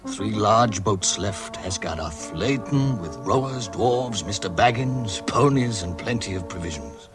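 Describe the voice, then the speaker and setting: Low-voiced male storyteller over loud music. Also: lively intonation. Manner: measured, storybook delivery. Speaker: narrator. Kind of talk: scripted story narration for radio drama.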